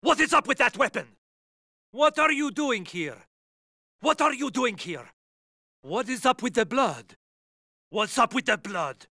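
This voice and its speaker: Suspicious enemy guard, forceful and animated game barks, challenging, very dry sound. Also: male voice, extremely high pitch, measured pace, pauses often, no room sound, heavily acted. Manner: challenging, questioning. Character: suspicious, alert enemy soldier guard on patrol. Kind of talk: video game soldier barks.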